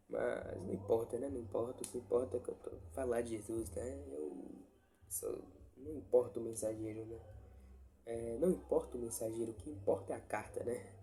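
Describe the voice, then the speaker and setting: A man's voice: medium pitch, creaky, dark tone. A man, stuffy with a cold, giving an informal Bible reflection with many restarts and tag questions. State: congested with a cold. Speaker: lay preacher sharing a Bible reflection. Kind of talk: informal devotional talk. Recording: faint background noise.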